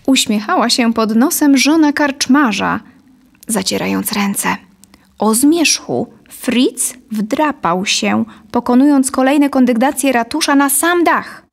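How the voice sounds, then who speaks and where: Narrator, woman in her thirties: scripted storytelling, higher-set voice, noticeable fry, close studio mic. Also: slow pace. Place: dim studio set, indoors. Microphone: close studio condenser microphone.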